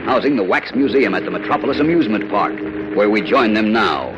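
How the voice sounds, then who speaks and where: A man's voice: on the low side, animated, a little fast, muffled recording, very noisy. A man narrating a 1940s radio serial as announcer, in a dramatic, declamatory style. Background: music.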